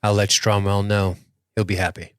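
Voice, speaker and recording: Man playing a low-voiced, commanding starship officer. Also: level tone, moderate pace, close to an everyday voice. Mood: measured, decisive. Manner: formal, authoritative. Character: commanding male military starship senior officer, measured and decisive.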